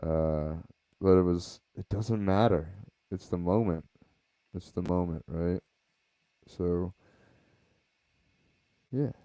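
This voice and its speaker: Male podcast host, deep voice, relaxed rambling delivery kept low, dry close sound. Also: takes it slowly, long pauses, no room sound. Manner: rambling, laid-back, talking low. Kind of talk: casual reflective chat. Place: home, baby sleeping nearby.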